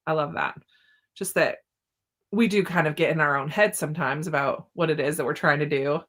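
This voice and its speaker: A woman's voice: deep voice, fairly quick, fairly bright tone. A woman hosting a casual book discussion, chatting informally and often laughing through her words.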